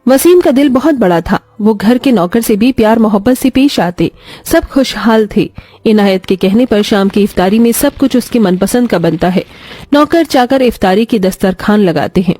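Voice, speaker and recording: A woman's voice: fairly high pitch, expressive, normal speed, warm tone, dry recording. A woman narrating a scripted moral story as a dramatic storyteller.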